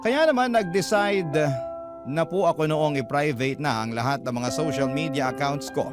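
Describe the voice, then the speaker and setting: A man's voice: on the high side, moderate pace. A man narrating a listener's letter in the first person, in a confiding storytelling manner. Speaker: letter reader. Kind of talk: reading a listener's letter aloud as radio drama narration. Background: loud guitar music.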